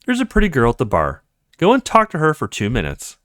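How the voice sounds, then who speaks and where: Male gaming streamer, mid-pitched, hugely varied intonation, voicing story dialogue, close dry mic. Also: brisk, no room sound. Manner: animated, acting out characters' lines. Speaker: let's play streamer. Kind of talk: reading visual novel dialogue aloud.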